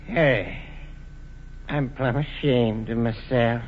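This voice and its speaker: A man's voice: mid-range voice, slow pace, a crowd in the background, close to an everyday voice. An actor playing a sheepish, apologetic lawman who stammers and hesitates in a 1940s radio drama. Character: flustered, sheepish, apologetic lawman, an old friend. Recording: muffled, hissy, echoing old radio broadcast.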